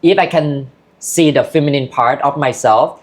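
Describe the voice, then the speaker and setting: A woman's voice: sits low, moderate pace, a little mellow. Candid Thai-accented woman guest. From Thailand. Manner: open, candid talk in loose, broken English. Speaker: interview guest. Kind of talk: casual interview chat.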